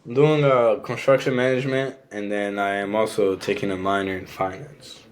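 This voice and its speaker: Young guy from rwanda, lowish voice, laid back chatty podcast guest. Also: normal speed. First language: a Rwandan language; also French. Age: young adult. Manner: relaxed, easygoing, fillers like "you know what I'm saying". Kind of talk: casual conversation.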